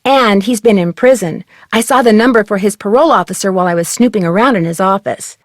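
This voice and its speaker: A woman acting as a young female sleuth from a mystery game. She is curious, polite and level-headed, explaining clues clearly in a mid-pitched voice, recorded dry. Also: fast talker, dry recording, close to an everyday voice. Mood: matter-of-fact.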